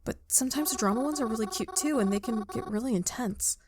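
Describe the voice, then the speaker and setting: A woman's voice: a little high, fast talker, noisy background, natural voice. A woman voicing a shy, bookish, easily flustered young woman in a visual novel game, hesitant and stammering. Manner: hesitant, soft-hearted, stammering.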